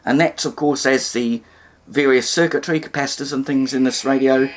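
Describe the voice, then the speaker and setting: A man's voice: mid-range voice, steady tone, moderate pace. A man giving an informal, hands-on demonstration, explaining things step by step in a somewhat hesitant way. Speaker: hobbyist presenter demonstrating radio repair.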